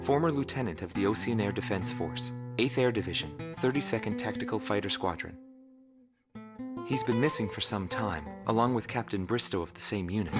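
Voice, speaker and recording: An actor playing a sober investigative reporter in a video game, giving measured, matter-of-fact documentary narration. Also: male voice, fairly low pitch, close to an everyday voice. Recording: loud guitar music behind.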